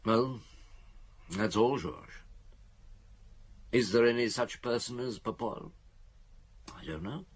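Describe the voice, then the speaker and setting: Husky, brooding police detective. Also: male voice, medium pitch, slow, long pauses. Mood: brooding, doubtful. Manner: terse, probing. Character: measured, brooding police detective questioning an informant. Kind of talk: radio crime drama acting.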